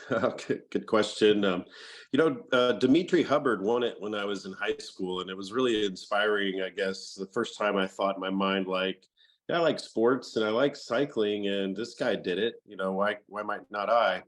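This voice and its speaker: Indiana guy on a video call, lowish voice, chill and jokey, lots of ums. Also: moderate pace. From Bloomington, Indiana, US. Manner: easygoing, joking, many fillers. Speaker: guest, former racer. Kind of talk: casual interview.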